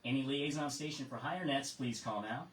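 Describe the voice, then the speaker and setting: An American man acting as ham radio net control, reading procedures in a measured way with casual asides. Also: medium pitch, a bit bright. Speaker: amateur radio net control operator and host. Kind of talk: reading a net script and chatting with check-ins. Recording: roomy, distant mic with faint noise.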